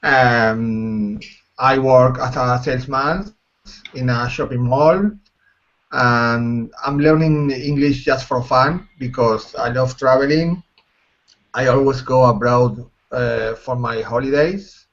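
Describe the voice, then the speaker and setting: A man, an English learner speaking hesitantly with many pauses and apologies. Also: medium pitch, a bit bright. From Spain. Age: 40s. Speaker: student. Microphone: video call. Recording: muffled video call audio.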